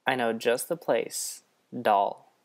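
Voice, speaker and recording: A man's voice: mid-range voice, takes it slowly, voice pushed up, natural voice. A man voicing a smitten, teasing male trickster companion in a radio-play style story. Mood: flirty, teasing.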